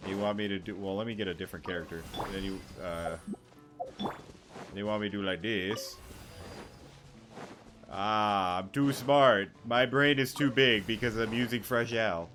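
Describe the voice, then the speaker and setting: A man's voice: on the low side, normal speed, pauses often, music in the background. A young man giving casual gameplay commentary, rambling and thinking aloud in slangy, sweary speech. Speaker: gaming YouTuber.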